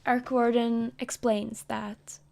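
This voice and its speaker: A woman's voice: a little high, little pitch movement, slow, creaky, dark tone. A woman streamer chatting casually between comic readings, jokey and giggly.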